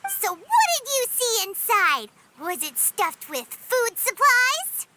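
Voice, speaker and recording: A woman's voice: extremely high pitch, very animated, slow, very noisy, exaggerated voice. A woman voicing a small, spirited, bossy and curious fairy girl in a video game, speaking in an earnest, exclamatory way. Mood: excited. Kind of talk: video game cutscene voice acting. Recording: loud music behind voice. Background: game music.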